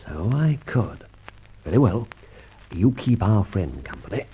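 A man playing a suave, menacing gentleman adventurer in an old radio serial, mock-polite and commanding, with clipped questions. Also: fairly low pitch, big pitch swings, measured pace, slight background noise. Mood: urgent. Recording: dull, lo-fi old radio recording.

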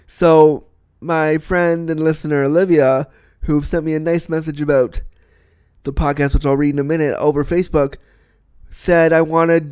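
A man's voice: on the high side, a little mellow, clean tone, dry recording, studio quality. A man hosting a podcast, chatting casually and rambling, sniffly with a cold. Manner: chatty, rambling, repeats himself. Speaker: podcast host. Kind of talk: casual solo podcast chat.

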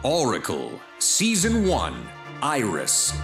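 A man hosting a podcast, reading a scripted promotional introduction with deliberate, emphatic phrasing. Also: medium pitch, wildly swooping pitch, vocal fry. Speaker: podcast host introducing a show. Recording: roomy sound with music underneath.

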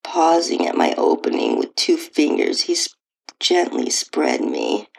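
A woman narrating a first-person erotic story in a breathy, sultry manner that drops into near-whispers. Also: sits low, wildly swooping pitch, unhurried, poor audio. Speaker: podcast host and author reading own story.

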